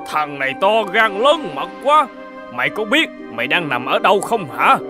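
An actor playing a pompous, excitable middle-aged male court official in a folk-tale cartoon, blustering and exclaiming. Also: high voice, normal speed, over music. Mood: indignant.

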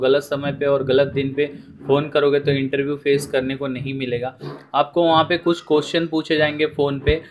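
A man's voice: fairly high pitch, a little hiss or hum. A man with an Indian accent giving an informal explainer voice-over, addressing viewers as friends, repeating himself and mixing in English words. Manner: repetitive, often addresses listeners as friends, mixes in English words. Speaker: presenter of a job information video.

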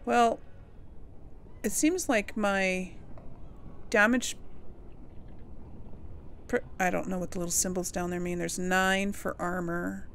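A woman's voice: on the low side, fairly even intonation, slow pace, pauses often, slight background noise. A woman giving casual gameplay commentary, thinking aloud and musing to herself as she plays. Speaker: game streamer, player of a role-playing game.

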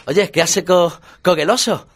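A man's voice: high-pitched, unhurried. A man voicing a crude, boastful cop while telling a joke in character, brash and swaggering.

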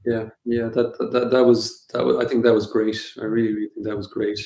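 Irish man with a flat, low voice. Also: fairly quick, gravelly. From Connemara, west of Ireland. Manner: hesitant, many fillers and restarts. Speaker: film director. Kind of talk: casual interview answers. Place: home room, seated on a sofa. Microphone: video call webcam. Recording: echoey room.

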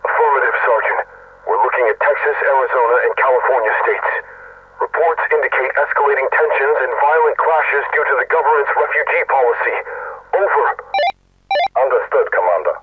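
A disciplined male soldier played by a man talks in clipped procedure over military radio. His mid-pitched voice comes through a narrow, tinny and very dry transmission. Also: a little fast, no room sound, far from the mic. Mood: urgent, businesslike. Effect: military radio filter.

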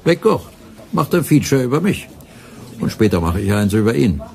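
A man's voice: medium pitch, very animated, moderate pace, vocal fry, warm tone. An actor playing a flippant, beer-drinking male radio colleague with a breezy, wry bantering manner in a radio play. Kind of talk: radio drama dialogue.

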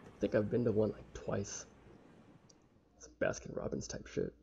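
A young man with a casual, halting, sweary manner reading a visual novel aloud and commenting as he plays. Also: on the low side, wildly swooping pitch, vocal fry, mellow. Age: young adult. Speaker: let's play streamer. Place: small room at home. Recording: dull lo-fi mic with faint noise.

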